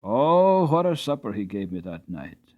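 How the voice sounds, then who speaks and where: A man's voice: fairly low pitch, measured pace. Dramatic male storyteller, slightly muffled. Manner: expressive, with varied pacing. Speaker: audiobook narrator. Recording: slightly dull, band-limited audio.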